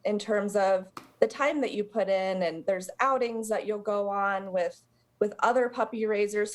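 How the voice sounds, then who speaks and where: Woman, American accent, mid-range voice, interview guest, conversational explainer, charity representative. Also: medium pitch.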